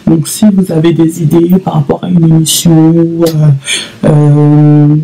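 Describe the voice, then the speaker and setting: Brief: woman, 30s, gravelly and mellow, hesitant casual vlogger, faint noise behind. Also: low voice, low-quality recording. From Congo (DRC). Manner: hesitant, many fillers and repeats. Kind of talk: casual solo vlog to camera.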